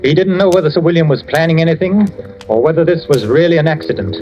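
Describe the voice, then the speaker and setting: An actor playing a tense first-person narrator in a dramatic old radio suspense tale. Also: male voice, on the high side, quick pace, highs cut off, slight background noise, close to an everyday voice. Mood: tense, grave.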